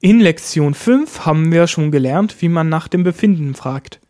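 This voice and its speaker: A man teaching a language lesson, explaining each point slowly step by step. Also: a little high, lively intonation, noisy background. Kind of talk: lesson narration.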